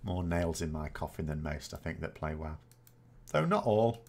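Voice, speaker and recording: A man's voice: rumbling low, very animated, normal speed. A man, chatting casually and rambling as a gaming host answering viewer questions, often correcting himself with dry self-mockery. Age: 40s.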